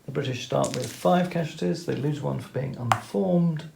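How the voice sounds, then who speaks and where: A man's voice: on the high side, normal speed, vocal fry, roomy sound, noisy background. A man methodically explaining each step of a tabletop wargame turn, talking through the rules as he plays. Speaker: wargame player explaining turns. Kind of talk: tabletop game commentary.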